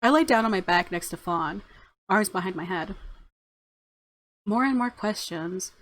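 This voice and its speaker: A woman hosting a let's play, reading story narration and reacting casually in a playful, expressive way. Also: medium pitch, unhurried. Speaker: gaming video host.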